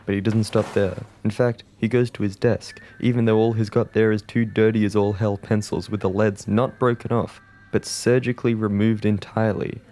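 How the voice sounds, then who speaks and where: A man narrating a comic pitch in a deadpan, wordy, theatrical storytelling way. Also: normal speed, slight background noise.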